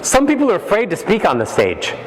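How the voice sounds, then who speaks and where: Lively man giving a keynote. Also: sits high, quick pace, a crowd in the background. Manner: animated, playful, demonstrates with his voice. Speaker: keynote speaker, voice coach. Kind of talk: motivational keynote talk. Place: large hall. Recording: echoey hall, faint ambience.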